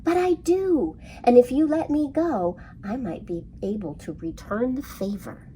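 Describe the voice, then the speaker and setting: A woman's voice: fairly high pitch, lively intonation, a little slow, mellow, a little hiss or hum. A woman voicing a small, cheeky male mouse in a children's storybook, pleading yet bold. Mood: insistent.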